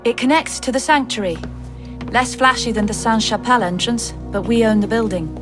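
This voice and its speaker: An actress playing a brisk, composed agent of a secret order in a video game, businesslike and matter-of-fact. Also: female voice, fairly high pitch, music in the background, lightly acted. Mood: businesslike, helpful. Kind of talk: video game cutscene acting.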